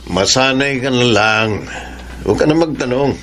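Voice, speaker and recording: Callous radio drama husband, middle-aged, creaky mid-range voice, full of scorn male actor. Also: mid-range voice, moderate pace, a bit bright, close to an everyday voice. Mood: scornful, cold. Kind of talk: Filipino radio drama acting.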